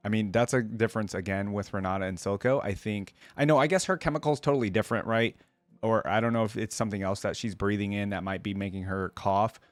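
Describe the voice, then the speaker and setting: A man's voice: lowish voice, dry recording. A man hosting a reaction video, commenting casually and often checking for agreement as he goes.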